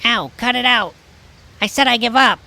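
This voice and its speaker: Guy doing a naive little alligator-villain kid, high and bright, takes everything literally. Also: moderate pace, creaky, full character voice. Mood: whiny. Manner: literal-minded and guileless. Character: ten-year-old boy dressed as an alligator villain, naive. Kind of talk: audio drama acting.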